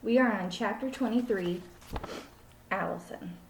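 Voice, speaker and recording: A woman's voice: mid-range voice, a little slow, gravelly, slight background noise. A woman reads a children's storybook aloud like a teacher. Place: small home room, indoors. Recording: echoey room, webcam mic.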